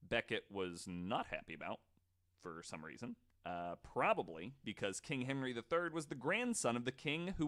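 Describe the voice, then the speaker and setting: A man's voice: lowish voice, fairly bright tone. A man hosting a podcast, telling stories to co-hosts in a casual, joking, informal way with some swearing. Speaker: podcast host.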